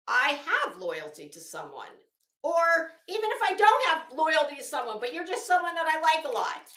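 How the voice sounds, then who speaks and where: A woman's voice: sits high, sharp tone, reverberant. A woman giving casual, opinionated commentary in a rambling, emphatic and blunt way, swearing freely. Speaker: online commentary host.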